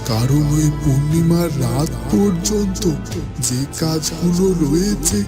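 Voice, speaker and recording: Slow, troubled dervish holy man acted by a man. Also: on the high side. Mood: worried. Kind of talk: slow dramatic cartoon monologue. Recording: loud music under the voice.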